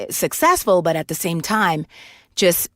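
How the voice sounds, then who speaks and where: A woman's voice: lowish voice, very animated, a little slow, fairly bright tone, no echo, studio quality. A woman in her forties from Puerto Rico, telling her life story candidly in a casual interview, with many restarts. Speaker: guest voice actor and singer.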